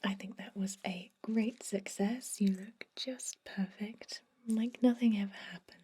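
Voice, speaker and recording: A woman voicing a gentle, reassuring vampire dentist in a soft, breathy whisper for an ASMR roleplay. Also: mid-range voice, steady tone. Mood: gentle, advising. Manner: soothing, reassuring, unhurried.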